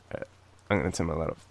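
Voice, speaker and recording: An Australian man with a cold speaks in a soft, halting, affectionate way, as in an intimate boyfriend roleplay. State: sick with a cold. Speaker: audio roleplay performer playing an affectionate sick boyfriend. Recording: background noise of rain. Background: rain ambience.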